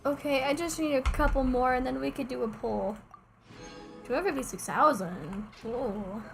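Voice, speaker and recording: A woman's voice: high-pitched, normal speed, vocal fry, soft background music. A young woman giving casual live gaming commentary as a streamer, playful, slangy and exclamatory. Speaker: game streamer.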